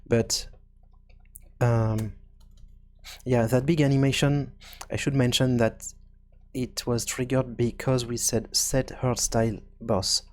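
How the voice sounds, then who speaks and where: A man giving an informal software tutorial, thinking aloud in a halting, self-correcting way. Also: medium pitch. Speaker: tutorial presenter.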